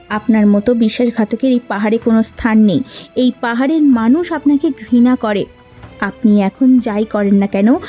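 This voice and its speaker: Lead: a woman playing a high-voiced, dutiful elder sister for a cartoon, music faint behind. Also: a little mellow, lightly acted. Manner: indignant, scolding. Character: stern elder sister running a hill kitchen. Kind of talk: cartoon voice acting.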